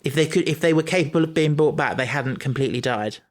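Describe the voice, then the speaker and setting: A man's voice: fairly high pitch, quick pace. A man co-hosting a casual podcast chat, thinking aloud with many restarts and stumbles. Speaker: podcast co-host.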